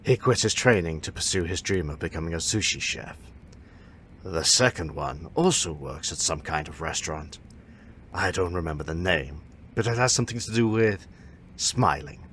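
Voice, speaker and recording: A man playing a stern older martial arts master in an audio drama, measured and authoritative as he instructs. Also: low-pitched, fairly bright tone, slight background noise. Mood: instructing.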